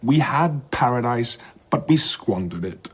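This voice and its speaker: An actor playing a courteous, sceptical and curious scholar-diplomat in an audio drama, articulate and conversational in debate. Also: male voice, mid-range voice, expressive, a little slow, husky, dry recording.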